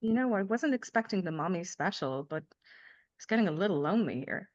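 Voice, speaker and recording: A woman playing a sardonic, anguished mother in a dramatic table read, emphatic and halting when upset, on dull lo-fi audio. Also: fairly low pitch, animated, natural voice. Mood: wry. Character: Lily, sardonic young mother, anguished, fiercely emotional. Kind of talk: live screenplay table read, dramatic acting.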